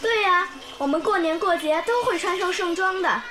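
An actress voicing a bright, curious young village girl who tells stories eagerly, in a radio drama. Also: very high voice, moderate pace. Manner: lively, eager storytelling. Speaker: pupil. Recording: background music under the scene.

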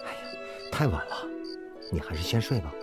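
An actor playing an earnest, dedicated factory engineer in a Chinese radio drama. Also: male voice, low-pitched, sing-song, brisk, over loud music. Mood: gentle. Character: earnest, dedicated male factory engineer, devoted to his work.

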